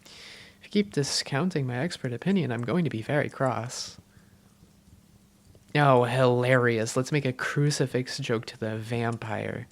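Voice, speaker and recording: A woman playing an affectionate, self-doubting vampire girlfriend in a cozy roleplay audio, speaking in an intimate, teasing and sometimes halting way. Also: a bass voice. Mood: playful, mock-stern. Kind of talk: cozy roleplay audio, one-sided conversation.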